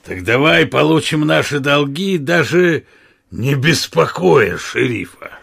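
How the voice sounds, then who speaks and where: A big, crafty outlaw in a radio play, with a husky, gravelly voice pitched on the high side. He speaks unhurriedly, with hearty, teasing swings of tone. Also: expressive, slow pace, fairly bright tone, dry recording, clean studio sound, full character voice. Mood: sly, scheming. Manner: hearty, teasing, mock-humble servility with superiors. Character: big jovial crafty outlaw, Little John. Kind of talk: Russian audio drama acting.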